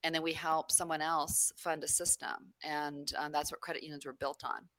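A woman's voice: low voice, little pitch movement, moderate pace, fairly bright tone, no room sound. A woman from New Mexico, a credit union CEO, answering interview questions in a rambling way with many fillers.

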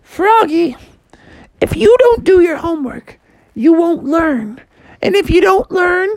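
A woman's voice: very high voice, animated, takes it slowly, darkish tone, no echo, pitched above the performer's own voice, exaggerated voice, poor audio. A woman voicing a peppy, overbearing mother frog in a storybook, emphatic and sing-song, full of encouragement. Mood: nagging. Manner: sing-song, emphatic, pep-talk repetition.

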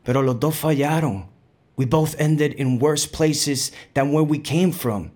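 An actor playing a playful, reflective Puerto Rican bandleader from New York in an audio drama monologue, mixing Spanish into streetwise, conversational storytelling with a Puerto Rican New York accent. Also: male voice, on the high side, a little slow, darkish tone, natural voice. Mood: bitter, reflective. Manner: Spanglish code-switching, streetwise, conversational storytelling.

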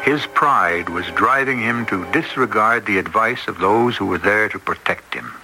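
An actor playing the grave host of a radio mystery drama, narrating in a measured, portentous way. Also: male voice, fairly low pitch, pushed and bright, a little hiss or hum, rough recording. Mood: grave, ominous.

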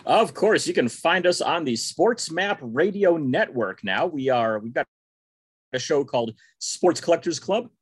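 A man from Texas, co-hosting a sports podcast in a relaxed, easygoing way. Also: a little high, unhurried, slightly rough, no room sound, studio quality. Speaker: podcast co-host. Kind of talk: casual sports podcast chat.

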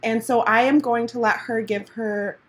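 A woman's voice: a little high, fairly even intonation, normal speed. A woman hosting a podcast in a bubbly, casual chat, laughing often. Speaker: podcast host.

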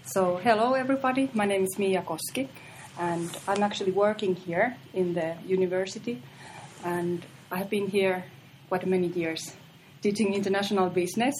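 A woman's voice: medium pitch, moderate pace, darkish tone, slight background noise, low-quality recording. A woman, a university business lecturer, chatting agreeably and often affirming others. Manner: agreeable, frequent affirming interjections. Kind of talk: casual discussion.

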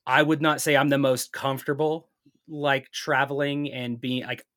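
A man's voice: medium pitch, level tone, normal speed, a bit bright. A man speaking native English in a casual chat as a podcast guest, telling things in a rambling, storytelling way.

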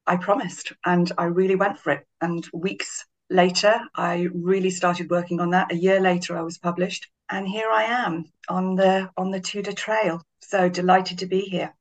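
A middle-aged woman, a guest author in a podcast interview, telling her story in a lively, chatty way with frequent restarts. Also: fairly low pitch, moderate pace, hardly any pauses. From Wales.

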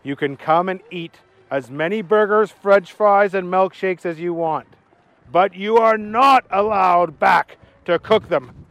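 A man doing a stern fast-food manager. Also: high-pitched, fairly bright tone, no room sound, highs cut off. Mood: calm. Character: exasperated middle-aged male fast-food manager.

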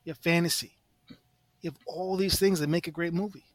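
A man's voice: a little high, steady tone, fairly quick, dry recording. A man chatting casually on a panel podcast, laying out points in emphatic, repeated phrases. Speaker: podcast contestant presenting a ranked list.

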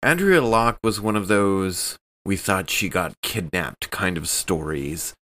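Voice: low-pitched, lively intonation, slow, fairly bright tone. A player in a tabletop role-playing game, chatting casually and talking through a character's story.

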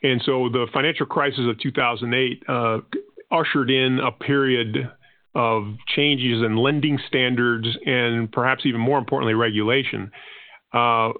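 Guest: man from Panama, Spanish native, mid-pitched, dry tinny phone sound, measured and hesitant. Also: steady tone, dry recording. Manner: measured, with frequent fillers and restarts. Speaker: guest, finance consultant. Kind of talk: podcast interview, explaining his background. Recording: tinny phone-line audio.

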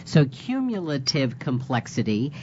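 Low-pitched woman moderator, rambling questions, slightly muffled call audio. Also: very animated, a little slow, warm tone. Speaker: webinar moderator. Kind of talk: moderating a panel discussion. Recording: dull lo-fi audio with faint noise.

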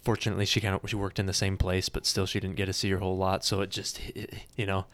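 A man's voice: low-pitched, completely flat delivery, rapid-fire, fairly bright tone, dry recording. A man in his thirties hosting an interview, talking casually with many fillers and restarts. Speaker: podcast host.

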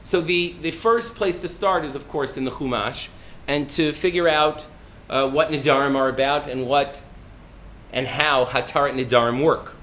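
Deep-voiced male rabbi lecturing, thoughtful and self-deprecating; muffled recording with faint hiss. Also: steady tone, normal speed. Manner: thoughtful, self-deprecating, with frequent restarts and fillers. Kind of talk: classroom lecture.

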